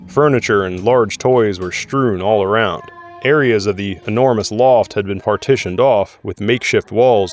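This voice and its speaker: A man reading scripted, dramatic narration for an audio drama. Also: lowish voice. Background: music score.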